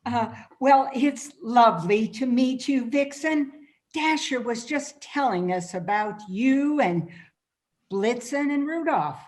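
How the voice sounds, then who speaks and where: A woman's voice: a little high, slow pace, a little mellow. A woman reading a curious, earnest woman traveler in a radio play. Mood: polite.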